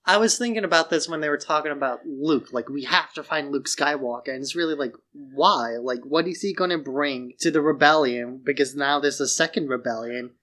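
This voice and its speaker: A man with a slightly high voice co-hosts a casual film podcast. He thinks aloud in an informal, musing way, peppering his sentences with "like" as he works through a point. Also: pushed and bright. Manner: informal, musing, says "like" often. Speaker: podcast co-host.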